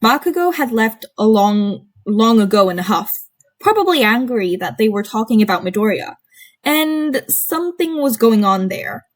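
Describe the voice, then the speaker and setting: A woman's voice: fairly high pitch, expressive, moderate pace. A woman with a bubbly, informal manner, reading fan fiction aloud and chatting to her listeners as an amateur narrator. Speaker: podfic narrator and channel host. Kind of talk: amateur audiobook narration and casual chat to listeners.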